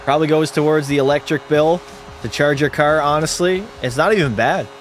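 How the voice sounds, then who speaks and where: A male streamer giving casual play-by-play of a hockey game and answering his chat in a relaxed way. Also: fairly high pitch, fairly quick, faint music behind. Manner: relaxed, drops into terse hockey call mode.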